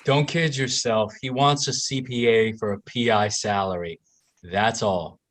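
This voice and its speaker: An actor playing a stern, discouraging agency investigator at a screenplay table read. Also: male voice, on the low side, fairly even intonation, a little slow, a bit bright. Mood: cold, discouraging.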